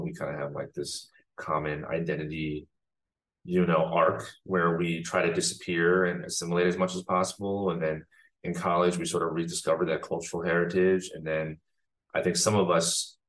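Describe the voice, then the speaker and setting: Super deep voiced guy from the us, reflective, kinda halting, casual interview. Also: fairly bright tone, low-quality recording. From United States. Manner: reflective, thoughtful, many pauses. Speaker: guest filmmaker.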